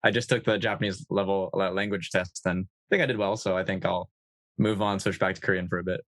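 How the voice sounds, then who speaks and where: Male podcast guest, low-ish voice, dry close sound, chatty and easygoing. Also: steady tone, quick pace, a bit bright, dry recording. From United States. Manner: easygoing, chatty, with frequent fillers. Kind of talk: casual conversational interview.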